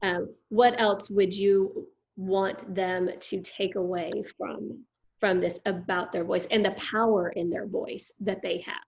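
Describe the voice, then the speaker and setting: Female host, middle-aged, conversational interviewer, medium pitch. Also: steady tone, slow, vocal fry, darkish tone. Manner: chatty, affirming, many fillers.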